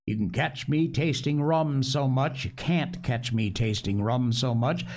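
A man's voice: medium pitch, measured pace, darkish tone, natural voice. A man voicing a half-crazed marooned sailor in an audiobook, sly, childishly eager and talkative, with rustic, ungrammatical speech and repeated words. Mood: rambling, pious.

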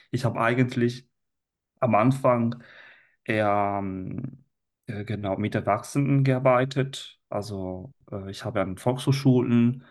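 An Italian man in a relaxed podcast conversation, with a medium-pitched voice and an Italian accent. He talks at a very slow pace and often hesitates as he searches for the right phrase. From Italy. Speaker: language teacher, interview guest.

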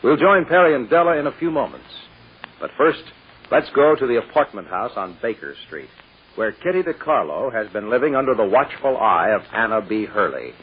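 A man announcing and narrating a 1940s radio drama in a scripted, measured way with deliberate dramatic pauses. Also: mid-range voice, sing-song, husky. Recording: muffled, hissy old radio recording.

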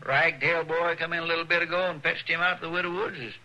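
An actor playing a talkative, fretful frontier deputy with a rustic drawl, in 1950s radio drama style. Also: male voice, a little high, steady tone, fast talker, bright, forceful tone, muffled recording. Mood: fretful gossip. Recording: noisy vintage radio broadcast.

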